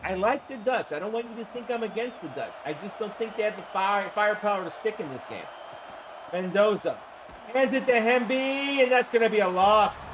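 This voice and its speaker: A man calling a simulated football game on a live stream, hoarse from the flu, chatting with viewers and breaking into excited shouts on big plays. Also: sits high, brisk, noisy background. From Florida. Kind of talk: live-stream play-by-play commentary.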